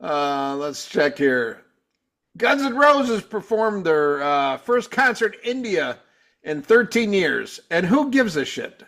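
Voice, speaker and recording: A fifty-one-year-old man hosting a relaxed podcast, talking bluntly and cursing freely. His voice runs high for a man. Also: slow. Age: 50s. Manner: blunt, profane, laid-back. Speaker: podcast host. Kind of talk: casual chat.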